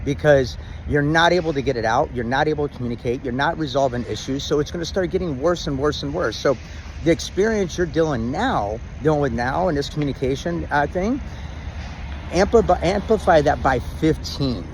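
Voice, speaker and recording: A man's voice: a little high, lively intonation, brisk, a little hiss or hum, low-quality recording. Rambling middle-aged man outdoors. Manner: rambling, many restarts and ums; says he has a loud, dominant voice. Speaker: vlogger giving relationship advice. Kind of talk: casual talk to camera.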